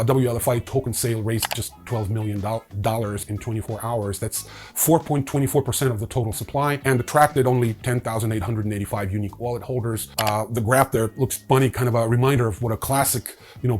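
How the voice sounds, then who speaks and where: A man's voice: mid-range voice, darkish tone. A man hosting a news rundown in a casual, opinionated way with wry asides. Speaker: host of a crypto news show.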